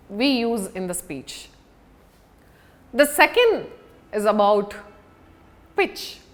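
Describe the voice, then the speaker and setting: A woman giving a public speaking lesson as an instructor, speaking deliberately and emphatically with dramatic pauses. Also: sits high, a bit bright. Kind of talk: scripted lesson to an audience on video.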